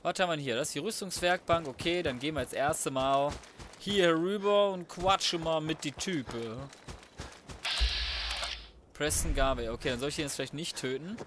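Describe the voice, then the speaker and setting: A man's voice: fairly high pitch, normal speed. A young man giving casual, slangy, flippant let's play commentary. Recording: faint noise, game sounds behind. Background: video game ambience.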